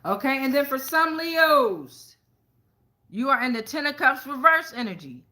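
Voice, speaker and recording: A woman's voice: sits high, very animated, unhurried, pushed and bright. An American woman hosting a casual tarot reading for viewers, speaking in a blunt, slangy way. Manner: blunt, slangy, freely swearing. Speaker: tarot reader and show host.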